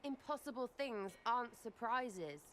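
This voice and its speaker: An actress playing a brash, irreverent young elf archer in a video game, with a blunt, slangy manner. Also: female voice, fairly high pitch, lively intonation, measured pace, fairly bright tone, a little hiss or hum. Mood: agitated, incredulous. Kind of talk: video game character acting.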